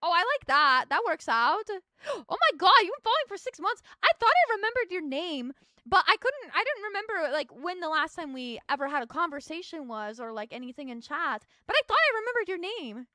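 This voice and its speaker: A woman's voice: very high-pitched, big pitch swings, a little fast, pushed and bright, dry recording, studio quality. A young adult woman streaming as a VTuber, chatting casually with her chat while she builds in a game and thinks aloud.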